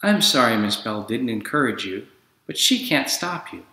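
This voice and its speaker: Man reading as a gentle, consoling mother. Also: mid-range voice, very animated, normal speed. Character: tender, supportive mother of a little girl. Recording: echoey room.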